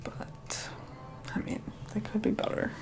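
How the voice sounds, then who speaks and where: A woman's voice: measured pace, music in the background, lightly acted. A woman playing a snobbish, reluctant young vampire in an ASMR roleplay, whispering in a sarcastic, bossy, condescending way. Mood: snide, grudging.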